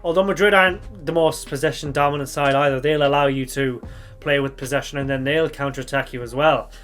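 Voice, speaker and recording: Slightly croaky young man rambling. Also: fairly high pitch, steady tone, fairly quick, fairly bright tone, soft background music. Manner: rambling, thinks aloud, corrects himself. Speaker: football fan channel host. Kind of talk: casual match reaction vlog.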